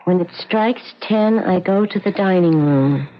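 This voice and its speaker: An actress in an old-time radio drama playing an entranced, obedient twin who repeats orders, on a vintage recording. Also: female voice, on the low side, a little slow, dull audio, close to an everyday voice.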